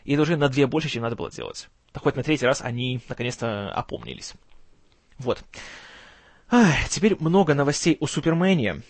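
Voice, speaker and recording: A man hosting a film news show in casual commentary, his voice strained and kept low in volume, with a gloomy, wry, sarcastic manner and some stumbling over words. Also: very animated, fairly quick, no room sound. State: strained, tired voice, can't speak loud.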